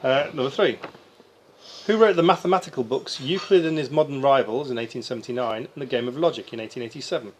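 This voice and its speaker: A man's voice: medium pitch, fast. A man reading quiz questions aloud as quizmaster in a live contest. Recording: faint background noise.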